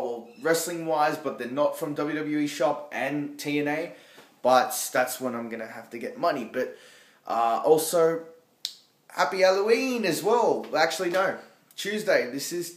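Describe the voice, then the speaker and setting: A young man vlogging casually from a small home kitchen, talking into a phone held at arm's length and rambling with lots of fillers and restarts. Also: mid-range voice. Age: young adult. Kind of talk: casual unboxing vlog. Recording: echoey room.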